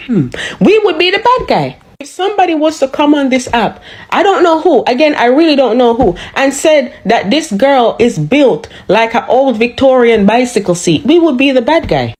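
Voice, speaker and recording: A woman with a Jamaican accent delivers a sarcastic, indignant rant in a social media clip. Her voice sits a touch high and she hammers every point with emphasis. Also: darkish tone. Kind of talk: ranting commentary.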